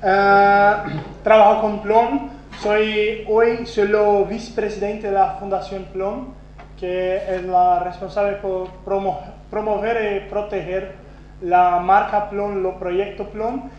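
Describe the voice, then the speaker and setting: Portuguese-speaking Brazilian man at a conference, high-pitched, self-deprecating, many restarts, echoey room. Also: little pitch movement, slow pace, a little mellow. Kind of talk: conference talk. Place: conference hall. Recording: roomy, dull lo-fi sound with faint hum.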